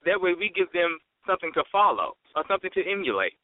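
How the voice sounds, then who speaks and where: High-voiced man on a phone-like line. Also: very animated, fast talker, no room sound. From Mississippi, United States. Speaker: panelist. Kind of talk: casual talk-show panel discussion. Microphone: phone conference call. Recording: dry narrow phone-like sound.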